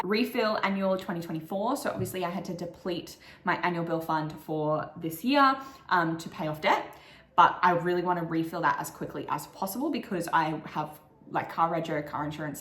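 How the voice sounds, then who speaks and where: A young adult woman with an Australian accent and a croaky morning voice, chatting casually and upbeat through her monthly goals vlog with plenty of "like" fillers. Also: fairly low pitch, a bit bright, echoey room. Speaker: vlogger.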